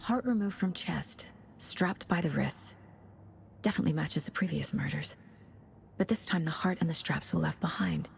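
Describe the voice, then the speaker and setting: A woman playing a focused, businesslike FBI lead investigator in a video game, speaking in a measured, commanding way. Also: low voice, very animated, creaky, heavily acted. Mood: focused, pensive. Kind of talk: scripted game dialogue and introspective narration. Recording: faint background noise.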